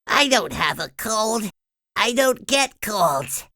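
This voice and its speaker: Woman voicing sick cartoon sponge boy: very high, nasal, stuffy, slow delivery, dry read. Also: very animated, sharp tone, no room sound, heavily acted. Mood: sick, pleading. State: sniffly with a cold. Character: cheerful young male sea sponge, eager. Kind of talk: storybook read-aloud.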